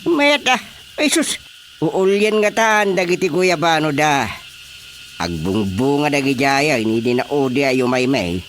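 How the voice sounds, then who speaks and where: An actor playing an easygoing, comic rural father in an Ilocano radio drama, with drawn-out phrasing. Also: on the high side, expressive, a bit bright, slight background noise. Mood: admiring, playful.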